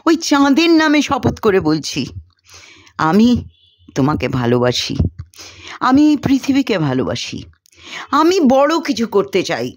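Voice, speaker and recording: Woman acting out restless young male student, storybook orator, earnest, declamatory, unhurried, very varied pitch. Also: wildly swooping pitch, no room sound, clean studio sound, heavily acted. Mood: passionate. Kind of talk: storybook character dialogue.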